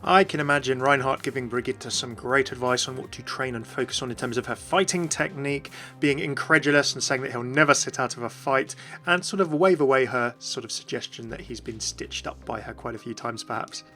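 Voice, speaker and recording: A man's voice: mid-range voice, fairly quick, hardly any pauses, bright, forceful tone. Male video presenter, mid-range voice, explanatory fan commentary addressed to the audience, light music bed. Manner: explanatory, addresses viewers directly. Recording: voice-over with faint music behind.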